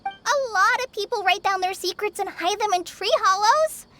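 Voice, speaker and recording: A woman voicing a shy, secretive young woman who speaks timidly with halting pauses. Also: very high voice, bright, forceful tone, slight background noise.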